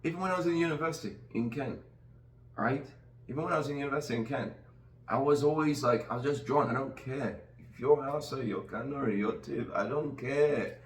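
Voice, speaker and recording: A man's voice: medium pitch, brisk. A middle-aged man from Nigeria hosting a casual podcast conversation, talking earnestly and rambling with many restarts. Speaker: podcast host. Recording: roomy sound, distant from the mic.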